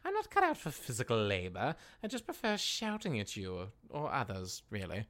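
A woman's voice: a bass voice, huge pitch swings, brisk, a bit bright, heavily acted. A woman playing a haughty, exasperated adventurer who is whiny and sarcastic, in a fantasy comedy audio drama. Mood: whiny protest.

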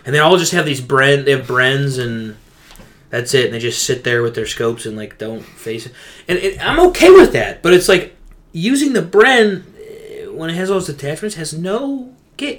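A man's voice: on the high side, very animated, moderate pace. A man chatting casually as a gaming podcast co-host, rambling and venting emphatically in slangy language.

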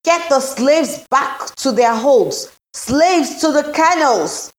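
A panicked crewman on an old sailing ship acted by a woman narrator bellows orders in an audio story. The cries are very high and drawn out, full of alarm and command. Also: expressive, slow pace, roomy sound.

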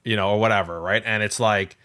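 A man's voice: fairly low pitch, steady tone, quick pace, pushed and bright. A man co-hosting a casual tech podcast, talking in an emphatic, conversational way. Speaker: podcast co-host.

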